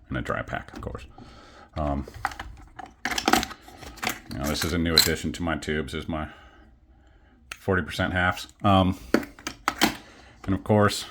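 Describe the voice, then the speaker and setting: A man casually showing his collection on camera at home, rambling and self-deprecating with many pauses and fillers. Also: low voice, heavy background noise. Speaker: coin collecting vlogger. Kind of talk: casual show-and-tell. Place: home studio.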